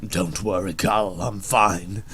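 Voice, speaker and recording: A man's voice: low-pitched, steady tone, slow pace, fairly bright tone, slight background noise, pitched below the performer's own voice. Man playing a sullen, brainy cartoon schoolboy. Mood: glum. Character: put-upon, resentful, brainy cartoon schoolboy.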